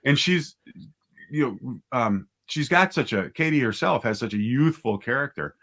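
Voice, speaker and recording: A man's voice: mid-range voice, lively intonation, moderate pace, fairly bright tone. A man speaking casually as an interview guest, a writer and director thinking aloud in a hesitant, conversational way.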